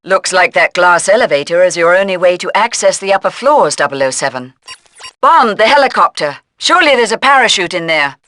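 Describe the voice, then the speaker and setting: An actress playing a businesslike spy mission handler, giving brisk, instructive briefings in a video game. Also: female voice, on the low side, expressive, fairly bright tone.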